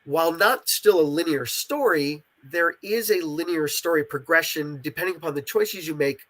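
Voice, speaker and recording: A man's voice: a little high, fairly bright tone. A talkative man explaining his creative work in a casual interview, stressing points by repeating them. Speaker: interviewed writer and creator of interactive audio dramas.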